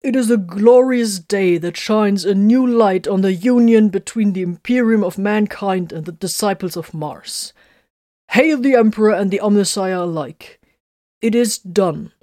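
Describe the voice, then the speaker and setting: A woman's voice: medium pitch, unhurried, mellow, no room sound, studio quality, close to an everyday voice. A woman voicing a proud, pompous male space marine tech officer who speaks grandly and turns testy when challenged. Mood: grandiose.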